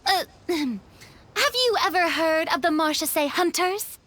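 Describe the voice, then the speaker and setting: A woman voicing a sweet, earnest young female adventurer in a video game, with a gentle manner. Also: extremely high pitch, animated, unhurried, full character voice. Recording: soft music behind the voice.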